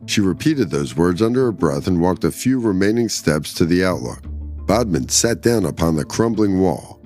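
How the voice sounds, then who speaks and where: Low-voiced male story narrator. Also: lively intonation, normal speed, mellow, faint music behind. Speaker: story podcast narrator and host. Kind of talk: scripted story narration with casual commentary.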